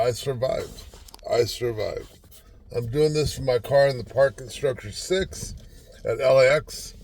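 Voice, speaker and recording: A man's voice: medium pitch, darkish tone, dry recording. An older man giving a casual, rambling motivational talk to camera from inside a parked car, folksy and self-deprecating, often repeating himself. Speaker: vlogger.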